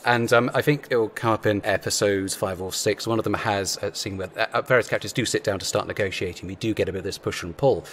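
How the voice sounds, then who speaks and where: A man's voice: fairly low pitch, fairly even intonation, quick pace, few pauses, fairly bright tone, slight background noise. A man chatting casually as a writer on a panel, explaining things in a rambling way. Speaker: audio drama writer.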